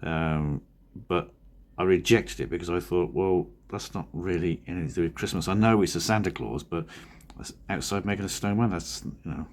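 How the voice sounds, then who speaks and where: A man with a croaky, husky voice from a cold, talking in a rambling, drowsy, self-correcting way as a cartoonist vlogger. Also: low voice, moderate pace. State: hoarse from a cold. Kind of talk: casual studio vlog talk.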